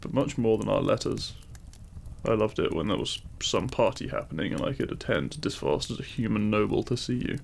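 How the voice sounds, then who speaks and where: Man playing a gravelly, devoted demon king lover. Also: on the low side, lively intonation, brisk, exaggerated voice. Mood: fond, amused. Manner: tender, formal, courtly phrasing. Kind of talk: intimate romantic audio roleplay, ASMR.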